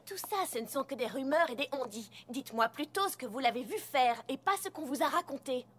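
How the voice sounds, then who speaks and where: A woman's voice: high-pitched, expressive, fairly quick, a bit bright, breathy edge, full character voice. A woman playing a level-headed, bookish young female pony who reasons in an articulate, skeptical way in a cartoon dub. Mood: skeptical, exasperated. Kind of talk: animated cartoon dialogue dub.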